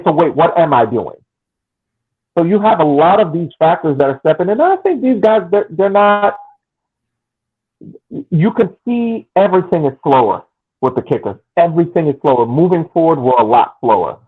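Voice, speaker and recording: A man's voice: a little high, breathy edge, phone-quality sound. A man co-hosting a casual sports podcast, giving long analytical takes with frequent restarts. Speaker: podcast co-host.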